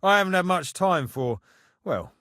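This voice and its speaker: An actor playing a blunt, sardonic medieval castle blacksmith in a video game, mocking and easily exasperated. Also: male voice, high-pitched, lively intonation, moderate pace, pushed and bright, no echo, clean studio sound. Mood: instructing, wry. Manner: blunt, sardonic, exasperated, rough colloquial phrasing. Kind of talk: video game character dialogue.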